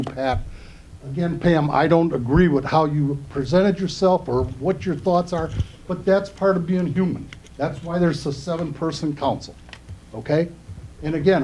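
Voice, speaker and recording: A man's voice: a little high, warm tone, slight background noise. An older man giving public comment at a council meeting, rambling and earnest, a bit aggrieved. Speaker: resident speaking at public comment.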